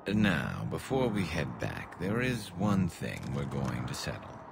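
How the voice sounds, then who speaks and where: A man playing a wry, cocky, loyal alien sharpshooter in a video game, with gravelly, flanged voice and dry teasing banter. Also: very deep voice, huge pitch swings, unhurried, heavy background noise, full character voice. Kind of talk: video game dialogue acting.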